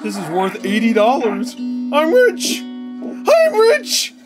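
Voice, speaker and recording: A man's voice: extremely high pitch, huge pitch swings, slow. A man in his thirties giving casual, profane reaction commentary in a joking, sarcastic, exclamatory way. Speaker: reaction video host. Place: small furnished room at home, indoors. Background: game trailer music and sound.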